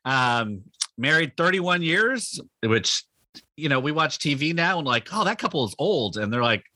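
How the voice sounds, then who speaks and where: Bright-voiced middle-aged Californian man, chatting. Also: fairly high pitch, very animated, normal speed, dry recording. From Northern California, United States. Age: 50s. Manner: self-deprecating, jokey, rambling. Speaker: pastor, podcast guest. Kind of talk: casual conversational interview.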